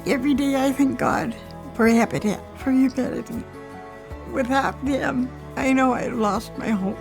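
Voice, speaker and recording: A woman's voice: high voice, moderate pace, gravelly, music in the background. An older woman, a homeowner, telling her story in a plain-spoken, heartfelt testimonial. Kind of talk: personal testimonial.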